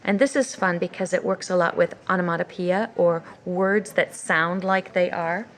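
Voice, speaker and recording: A woman's voice: fairly low pitch, moderate pace. A woman with an American accent giving an informal, explanatory talk as a teacher, presenting and reading children's books for English learners. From United States. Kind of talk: educational talk with read-aloud of picture books.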